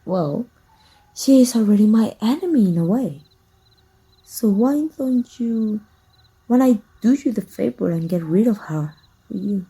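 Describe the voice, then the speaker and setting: A woman playing a jealous, possessive villain in an intimate ASMR roleplay, soft-spoken, teasing and menacing. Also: medium pitch, expressive, measured pace, husky, heavily acted, low-quality recording. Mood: possessive, coolly menacing.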